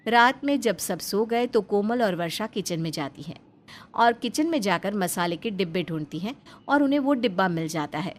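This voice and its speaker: A woman's voice: mid-range voice, lively intonation, moderate pace. A woman reading scripted narration as the storyteller of a cartoon family tale.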